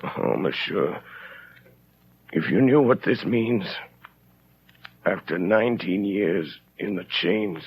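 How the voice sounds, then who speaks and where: An actor playing a weary, humbled ex-convict with a gravelly, husky, halting delivery in a 1930s radio drama. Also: male voice, low-pitched, animated, heavily acted. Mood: overwhelmed. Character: weary, bitter ex-convict man, humbled and overwhelmed. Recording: dull 1930s radio recording.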